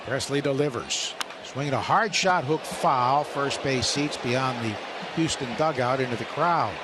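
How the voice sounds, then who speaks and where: A man's voice: mid-range voice, unhurried. A man working as a radio play-by-play announcer, giving live baseball commentary. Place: stadium broadcast booth. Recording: ballpark broadcast, loud crowd noise. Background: loud stadium crowd.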